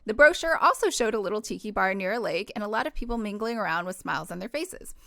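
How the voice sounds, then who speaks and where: A woman's voice: mid-range voice, expressive, fast talker, creaky, a bit bright, dry recording, studio quality. A woman reading a first-person novel aloud in a casual, informal way, sometimes stumbling and correcting herself. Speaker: book club host reading a novel aloud.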